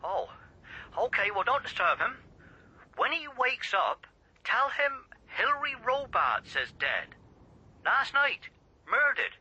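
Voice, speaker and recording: A man's voice: very high-pitched, very animated, takes it slowly, pauses often, sharp tone, breathy edge, heavily acted. Clipped man on a harsh phone line. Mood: urgent, insinuating. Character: gruff, probing local man passing on grim news by telephone. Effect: telephone filter. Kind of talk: radio drama acting. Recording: thin band-limited telephone line.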